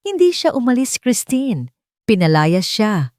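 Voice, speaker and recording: A woman's voice: on the high side, sing-song, a little slow, darkish tone, no echo, voice pushed up. Casting: woman playing a wealthy son in audio drama, urgent, blunt, anguished, with dry close sound. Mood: insistent anger. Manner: earnest, urgent, direct. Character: determined, anguished rich son demanding the truth.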